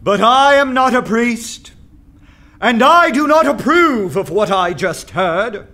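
High, impassioned, scornful rebel orator. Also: male voice, animated, takes it slowly, pushed and bright, heavily acted. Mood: scornful, rousing. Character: defiant undercover poet rousing anarchists with scornful oratory. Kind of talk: radio play oratory.

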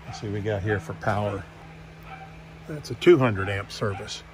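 A man's voice: on the low side, moderate pace, noisy background. Folksy man giving an offhand property tour. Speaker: real estate agent giving a property tour. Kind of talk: casual unscripted walkthrough commentary.

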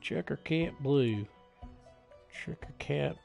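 A man streaming a video game in a fairly high voice. He comments casually at a slow pace, joking and musing to himself, with faint music behind him. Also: vocal fry, a little mellow. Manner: jokey, drawn-out musing aloud. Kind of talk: casual live gameplay commentary.

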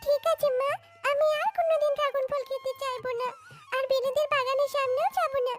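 An earnest little animal girl from a children's cartoon, played by a woman. Her voice is extremely high and childlike, with a pleading way of talking. Music plays underneath. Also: level tone, brisk. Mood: hurt, earnest. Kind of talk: cartoon voice acting. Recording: music behind the voice.

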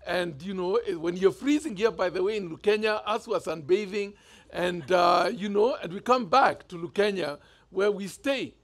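A man's voice: high-pitched, normal speed. A man in his fifties with a Kenyan accent, preaching a sermon in a conversational storytelling way on a church stage. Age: 50s. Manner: conversational storytelling, gestures freely. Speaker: bishop preaching.

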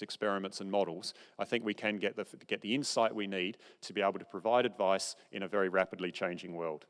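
A middle-aged man giving a conference keynote lecture from a podium on a large stage, explaining in an informal, conversational way. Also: on the low side. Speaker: keynote speaker. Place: large dark auditorium stage. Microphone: podium microphone, far camera. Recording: long hall reverb.

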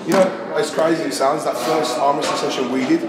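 A young adult man chats and jokes casually in a gym. His voice is a bit high and distant from the microphone, with loud voices all around. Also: fairly even intonation, quick pace. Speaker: strength athlete, arm wrestling guest. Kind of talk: casual banter. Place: large hard-walled gym. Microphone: camera a few metres away. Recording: distant, echoey gym, very noisy crowd.

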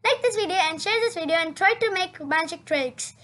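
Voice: very high voice, brisk, sharp tone. A child storyteller reading a picture book aloud, exclaiming the sound words dramatically.

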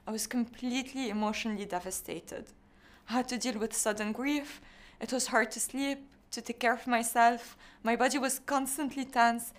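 A young woman from Lebanon, a startup founder, delivering a rehearsed, measured pitch. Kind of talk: scripted pitch.

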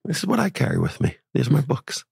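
Deep gravelly guy goofing around on a podcast, dry close sound. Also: huge pitch swings, fast, a little mellow, no room sound. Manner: playful, teasing banter. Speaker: podcast co-host. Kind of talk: casual chat.

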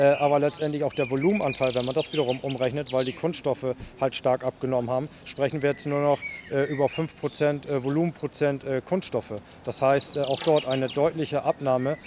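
A man in his forties giving a press interview as a manager, speaking haltingly with frequent hesitations. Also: medium pitch. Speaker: waste company manager. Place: outdoors beside a garbage truck. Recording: clear outdoor background noise.

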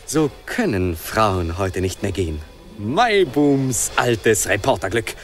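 Brash male special reporter for radio drama: excitable, clipped phrasing, muttered asides, very lively pitch. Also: takes it slowly, faint music behind, full character voice. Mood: excited, awed. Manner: clipped telegram-style commentary, muttered asides to himself. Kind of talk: radio play acting, live reportage.

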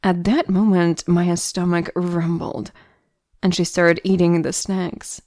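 A woman's voice: on the low side, flat delivery, takes it slowly, mellow, dry recording, studio quality. A woman reading scripted narration for an audio drama.

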